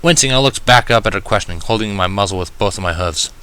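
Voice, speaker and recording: A man's voice: lowish voice, quick pace, pushed and bright, clear, resonant tone. A man reading scripted first-person fan fiction as the narrator.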